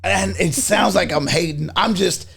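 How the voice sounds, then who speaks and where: High-pitched man with a gravelly creak. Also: a little slow, faint music behind. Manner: animated, slangy, swearing, nostalgic. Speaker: podcast panelist. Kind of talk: casual group chat.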